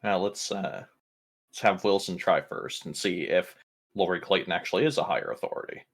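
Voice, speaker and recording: A man with a low, gravelly voice, chatting casually as a player in a tabletop game. He is jokey and swears freely. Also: expressive, a little fast. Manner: profane, joking. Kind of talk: casual banter during an online tabletop game.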